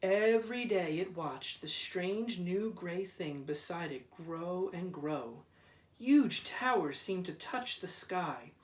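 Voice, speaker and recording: A man reading a children's storybook aloud in a deliberate, dramatic way, drawing out repeated words. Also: high voice, animated. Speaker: school principal reading aloud. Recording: roomy echo, distant microphone.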